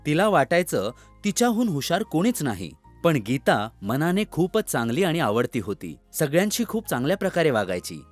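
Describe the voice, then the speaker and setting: Lively male children's storyteller. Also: fairly high pitch. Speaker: storyteller narrator. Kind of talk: scripted children's story narration.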